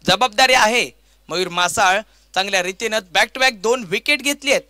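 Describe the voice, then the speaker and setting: High, bright-voiced Maharashtrian man commentating cricket. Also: moderate pace, smooth, no room sound. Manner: grandiose, dramatic phrasing with repeated stock lines. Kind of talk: live play-by-play sports commentary.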